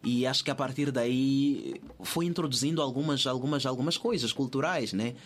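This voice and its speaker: A man's voice: medium pitch, moderate pace, a little hiss or hum. Male interview guest, Mozambican accent, mid-range voice, conversational, thinks aloud with repeated phrases.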